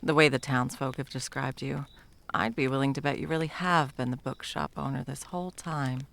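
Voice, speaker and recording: Woman acting low, gravelly, bitter monster hunter. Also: steady tone, normal speed, fairly bright tone, exaggerated voice. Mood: smug, cold. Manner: mocking, sarcastic, profane. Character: bitter, sarcastic seasoned female monster hunter, betrayed lover. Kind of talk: dramatic ASMR roleplay monologue.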